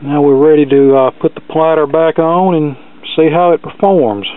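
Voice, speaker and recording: A man's voice: fairly high pitch, normal speed, smooth, no room sound. A man narrating a hands-on repair in a casual, plainspoken, matter-of-fact way, as the host of an electronics repair video. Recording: narrow phone-like lo-fi sound.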